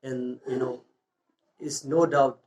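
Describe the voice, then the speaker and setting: A man from Pakistan with a Pakistani accent, a school founder answering interview questions in earnest, non-native English, pausing often with fillers and restarts. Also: mid-range voice, steady tone, a little mellow. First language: Urdu or a local language.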